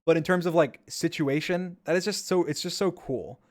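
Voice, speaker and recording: A man's voice: on the high side, moderate pace. A man casually commenting on his own gameplay clips during a stream, rambling and repeating himself with enthusiasm.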